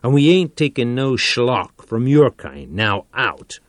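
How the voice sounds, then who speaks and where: A man doing a gruff, blunt beat cop. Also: medium pitch, very animated, slow pace, no room sound, voice pushed up. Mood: scornful. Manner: blunt, tough street talk. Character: gruff dismissive male police officer.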